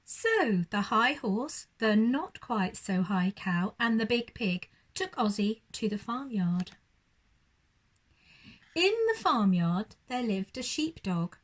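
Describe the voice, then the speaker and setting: A woman reads a storybook to young children at a slow pace. Her fairly high voice moves up and down expressively, in the manner of a primary teacher at storytime. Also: very animated. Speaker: primary school teacher reading a bedtime story.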